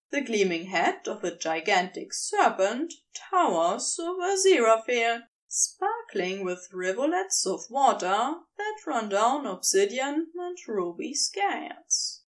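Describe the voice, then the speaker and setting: A woman narrates fiction like an audiobook reader. Her voice sits fairly high and moves with lively, wide intonation. She takes her time, very slow and deliberate through each phrase. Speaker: podfic narrator reading fan fiction. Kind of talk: scripted narration, audiobook-style storytelling.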